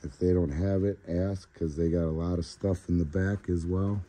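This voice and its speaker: Very deep, laid-back man. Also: fairly even intonation, normal speed, warm tone. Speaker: vlogger, toy store tour host. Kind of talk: casual handheld store tour commentary. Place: inside a large toy store. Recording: clear background store noise.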